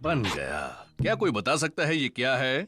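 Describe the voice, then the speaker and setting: A man's voice: medium pitch, sing-song, moderate pace, bright, forceful tone, soft background music. A man voicing a jolly daddy pig entertaining children in a cartoon, playful and reassuring.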